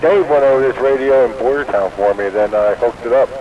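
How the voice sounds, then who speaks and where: A muffled CB radio signal with clear noise carries a man of medium pitch. He chats in a casual, everyday way over the two-way set. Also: brisk. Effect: two-way CB radio transmission. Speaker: CB radio operator.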